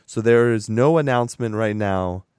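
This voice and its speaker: A man hosting a casual podcast chat with a hoarse, raspy voice that is strained from sickness; he talks in a chatty, rambling way and laughs often. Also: on the low side, moderate pace, darkish tone, no echo, clean studio sound. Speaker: podcast host.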